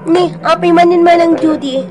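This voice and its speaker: A woman's voice: extremely high pitch, fairly even intonation, moderate pace. A woman playing a feisty, defiant grocery saleswoman, brash and taunting with an Ilocano accent in a radio drama.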